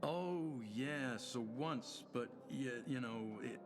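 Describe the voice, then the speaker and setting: A man's voice: mid-range voice, takes it slowly, background noise, natural voice. An actor voicing a nervous, shifty male merchant who pleads and dodges in a flustered way, in video game dialogue. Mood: pleading. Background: music.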